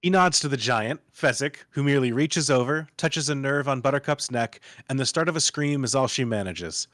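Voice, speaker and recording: A man's voice: medium pitch, moderate pace, a bit bright, no room sound. Man narrating, close and dry. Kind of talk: reading screenplay stage directions aloud.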